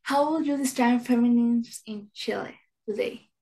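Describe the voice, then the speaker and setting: A woman's voice: fairly high pitch, normal speed, mellow, low-quality recording. A woman interviewer reading out prepared questions and introductions to a guest.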